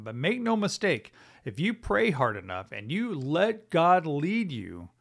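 A man's voice: medium pitch, vocal fry. A middle-aged man hosting a Bible teaching podcast, talking conversationally and thinking aloud with many fillers and "right?" tag questions.

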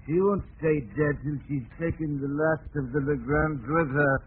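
An actor playing a gloomy, superstitious servant of a cursed house in an old-time radio mystery, speaking in an ominous, doom-laden way. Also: male voice, fairly high pitch, fairly even intonation, normal speed, close to an everyday voice. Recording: tinny, noisy vintage radio recording.

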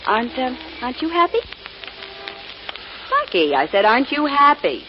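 Playful teasing young girlfriend, old radio show, really animated, dull hissy recording. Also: sits high, moderate pace. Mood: playful, coy. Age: young adult. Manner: coy, teasing, expressive. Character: playful, teasing young sweetheart of a tough man. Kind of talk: old-time radio drama acting.

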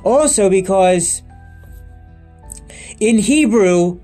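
A man's voice: high voice, lively intonation, drawn-out words, mellow, soft background music. A man in his thirties talking straight to his viewers in a loose, rambling monologue, circling back and restarting often. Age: 30s. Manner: rambling and repetitive, with many restarts and emphatic lists. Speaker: vlogger. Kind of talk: unscripted monologue to camera.

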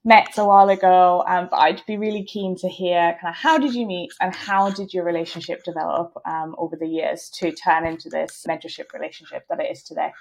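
A woman co-hosts an interview podcast, her slightly low voice carrying friendly enthusiasm as she leads into each question. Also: steady tone, fairly quick, vocal fry, hardly any pauses, rough recording. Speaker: podcast co-host.

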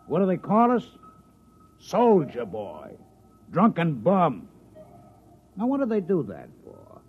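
Boozy, blustery American soldier, animated pitch. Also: male voice, slow pace, heavily acted. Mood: aggrieved. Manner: blustery, grumbling, colloquial. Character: aggrieved, boozy male soldier, brawling sidekick. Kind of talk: 1950s radio drama acting. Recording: dull, noisy old radio recording.

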